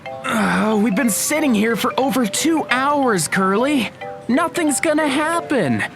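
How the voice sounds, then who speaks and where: A woman's voice: sits high, animated, takes it slowly, vocal fry, music in the background, exaggerated voice. A woman playing a sceptical, responsible teenage boy with a reluctant, chiding manner in an audio drama. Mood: weary, complaining.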